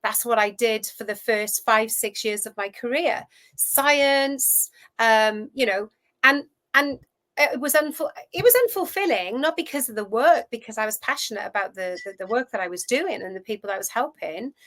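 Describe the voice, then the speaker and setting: A Welsh woman in her fifties, a coach telling her career story in a chatty, animated way as an interview guest. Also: fairly high pitch, normal speed. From Wales. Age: 50s. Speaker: guest, food relationship coach. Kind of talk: casual interview chat.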